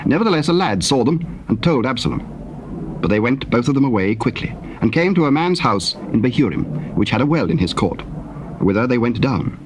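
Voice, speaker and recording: A man narrating scripture from a script in a measured, formal manner, on a hissy old cassette. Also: mid-range voice, big pitch swings.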